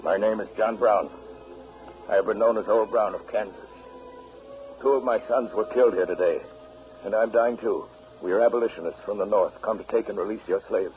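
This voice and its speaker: An actor playing a solemn, righteous old condemned man, speaking with grave, deliberate oratory in a 1930s radio drama. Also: lowish voice, soft background music, lightly acted. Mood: solemn, defiant. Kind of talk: 1930s radio drama acting, courtroom speech. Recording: muffled, phone-like old 1930s radio recording.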